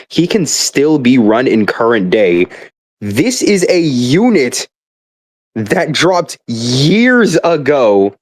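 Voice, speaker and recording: A man's voice: on the low side, no echo. A young man in a casual, rambling rant, swearing freely, exasperated and exaggerating, often stammering as he restarts his words. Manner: profane, exasperated, hyperbolic; stammering repeated starts. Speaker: gamer.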